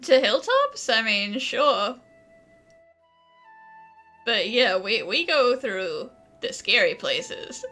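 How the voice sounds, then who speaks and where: A woman's voice: on the high side, sing-song, extremely slow, pauses often, pushed and bright, soft background music. A woman giving casual, wry, informal commentary as she plays a video game. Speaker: gaming video host.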